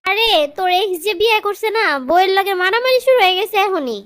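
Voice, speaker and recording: Cartoon gossip pal woman actor: squeaky high, close and dry, Bangladeshi accent, eager and pushy. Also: fast talker, fairly bright tone, no room sound. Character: excitable, bossy young female gossip, best friend. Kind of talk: comic cartoon dubbing.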